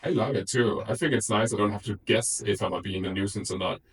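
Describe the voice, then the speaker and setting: A man's voice: fairly low pitch, a little fast, far from the mic, a little hiss or hum. A man from Denmark, an IT developer, speaking frankly and reflectively in a documentary interview.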